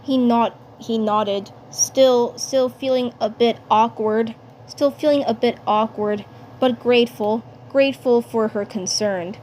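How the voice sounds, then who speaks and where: Casting: female amateur storyteller, higher voice, very slow halting read with repeated phrases, slightly noisy home recording. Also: fairly even intonation, a little mellow. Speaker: fan fiction narrator and voice performer.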